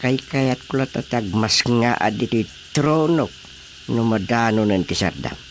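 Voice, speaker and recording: Man playing a slow-talking fretful frog king. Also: medium pitch, faint music behind, lightly acted. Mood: grumbling. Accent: Ilocano. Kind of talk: Ilocano radio drama comedy acting.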